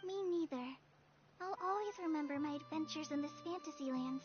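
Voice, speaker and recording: A woman voicing a gentle, thoughtful young female general in an anime game, composed and polite. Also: sits high, fairly quick, lightly acted. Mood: fond. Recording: dull audio, faint music behind.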